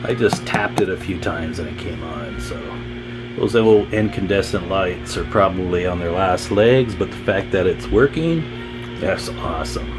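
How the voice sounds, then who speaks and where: A man with a low voice talks through a hands-on electronics demonstration over music. He is casual and informal, thinking aloud like a hobbyist at the workbench. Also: moderate pace, poor audio. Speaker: radio repair hobbyist presenting.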